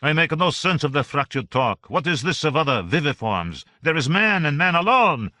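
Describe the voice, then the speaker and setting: A man's voice: fairly high pitch, very animated, normal speed, bright, forceful tone, no room sound, pitched above the performer's own voice. A man voicing Voltaire as a tiny, dry-witted old philosopher in a sci-fi audiobook, wry and judicious.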